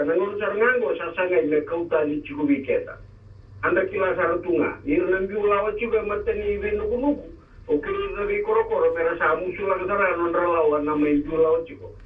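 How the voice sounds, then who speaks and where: A man's voice: a little high, distant mic. A man calling in to a radio talk show, explaining at length over a phone line. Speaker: caller. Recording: tinny phone line, echoey.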